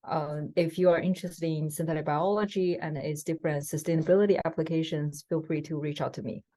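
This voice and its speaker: A woman with a low, mellow voice introduces herself on a video call. She speaks in a measured, technical way. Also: steady tone. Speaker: startup CEO.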